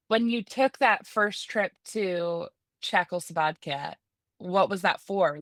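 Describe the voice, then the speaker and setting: A woman's voice: lowish voice, fairly bright tone, dry recording, studio quality. A woman hosting a podcast, who introduces her guest and asks questions with enthusiasm. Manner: enthusiastic, effusive. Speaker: podcast host. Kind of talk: podcast interview.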